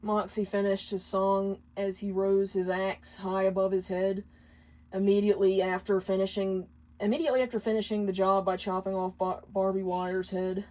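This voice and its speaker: A woman's voice: medium pitch, level tone, moderate pace, a little mellow, rough recording. A woman reading a story aloud as scripted narration, occasionally stumbling and correcting her words. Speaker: fan fiction reader.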